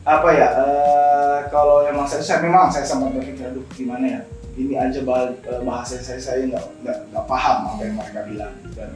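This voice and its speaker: A man's voice: mid-range voice, fairly even intonation, a little fast, darkish tone. A young man, explaining things conversationally as an interview guest and often repeating his phrases. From Indonesia. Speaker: guest, scholarship alumnus. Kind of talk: informal talk show interview, storytelling. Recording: very echoey room, distant mic, music behind.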